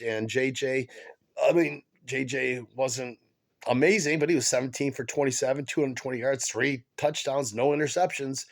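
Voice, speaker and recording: A man with a head cold hosts a casual sports chat, his voice stuffy and nasal. He talks in a gravelly, creaky tone, stopping and restarting often. His delivery is halting and full of fillers. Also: medium pitch. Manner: halting, many fillers and restarts, excited fan. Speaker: podcast host.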